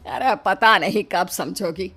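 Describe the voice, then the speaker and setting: A woman's voice: mid-range voice, slow pace, pushed and bright, breathy edge, heavily acted. A woman voicing a caring, mildly exasperated middle-aged mother-in-law in a cartoon story, with a motherly, remonstrating manner.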